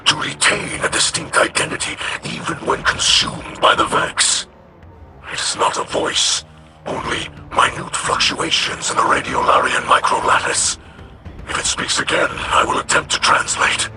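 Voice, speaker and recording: An actor playing a courteous, scholarly alien in a video game, speaking formally and haltingly in a breathy, whispery voice. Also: male voice, mid-range voice, natural voice. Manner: formal, halting, deliberate delivery. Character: eerie, courteous, scholarly alien male, solemn and curious. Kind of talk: scripted video game dialogue. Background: music.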